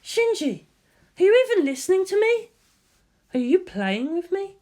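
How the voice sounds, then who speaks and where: A narrator putting on a stern, high-voiced woman scientist. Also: big pitch swings, moderate pace, mellow. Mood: angry, exasperated. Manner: instructional, clipped, commanding. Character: stern, easily irritated adult woman scientist and teacher. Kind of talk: amateur fan fiction reading with character voices.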